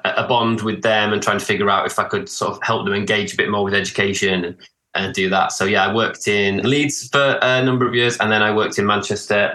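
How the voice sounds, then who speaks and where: A man's voice: on the low side, pushed and bright, reverberant. A man in his thirties from northeast England with a northern English accent, a podcast guest talking casually and hesitantly, with frequent restarts. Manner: hesitant, many restarts and "sort of". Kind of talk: casual interview talk.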